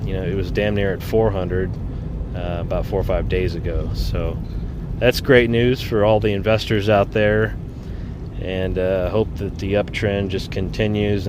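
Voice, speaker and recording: A man's voice: fairly low pitch, background noise. A man hosting his own podcast alone, talking casually with lots of ums and uhs, a bit nasal from a summer cold. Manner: rambling, many ums and uhs. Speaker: podcast host. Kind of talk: solo casual podcast talk.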